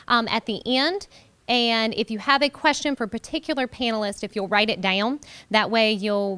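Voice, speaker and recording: A woman's voice: a little high, fairly bright tone, smooth. A woman opening a college panel, projecting her teacher voice to the room with frequent ums. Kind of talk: event welcome speech. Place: warm college room with an audience.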